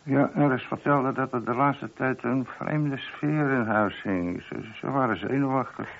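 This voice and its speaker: A man's voice: mid-range voice, measured pace. A man playing a chatty police sergeant in a radio drama, matter-of-fact and slightly cheeky as he reports. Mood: reporting. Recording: dull, muffled old radio drama recording.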